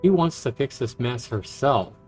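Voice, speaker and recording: A man's voice: mid-range voice, animated, moderate pace, a little mellow, a little hiss or hum. A man who streams games, reading visual novel narration aloud and reacting to it. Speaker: game streamer. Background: game music.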